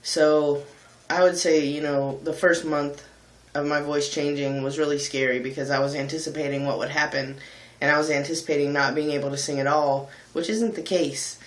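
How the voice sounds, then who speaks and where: A man's voice: fairly high pitch, level tone. Candid man, light voice, echoey room. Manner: candid, thoughtful, many ums and pauses. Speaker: vlogger and singer. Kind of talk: casual reflective vlog talk.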